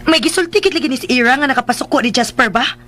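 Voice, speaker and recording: A high-voiced young Filipino daughter. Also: female voice, expressive, very fast, heavy background noise. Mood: puzzled, suspicious. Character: inquisitive young daughter in a troubled family. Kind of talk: Filipino radio drama acting.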